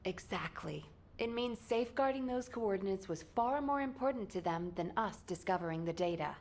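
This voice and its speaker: Woman voicing a squad leader, firm, measured, composed. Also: medium pitch, animated, darkish tone, natural voice. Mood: commanding. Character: composed female squad leader giving orders.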